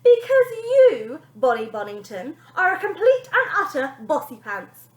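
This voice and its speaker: A woman's voice: high-pitched, sing-song, a bit bright, voice pushed up, exaggerated voice. A woman playing a smug, sneering TV nanny. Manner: cold mock-sweet delivery, dramatic pauses. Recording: echoey room.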